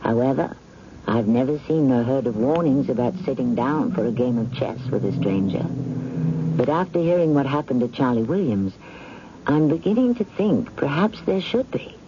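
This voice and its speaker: A man hosting a vintage radio drama, narrating from a script in a wry, measured, urbane theatrical manner. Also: medium pitch, animated, background noise. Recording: muffled vintage radio broadcast. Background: loud clear music.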